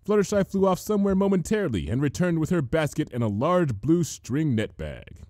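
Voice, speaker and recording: Very animated male storyteller, higher-pitched. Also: fairly quick, no echo, clean studio sound. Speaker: fan fiction reader, narrator. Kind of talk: animated scripted story narration.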